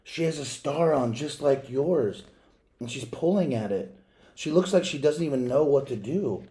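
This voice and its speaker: Male reader as a young storybook girl, excitable and insistent, with a mellow tone. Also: mid-range voice, moderate pace, close to an everyday voice. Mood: hushed.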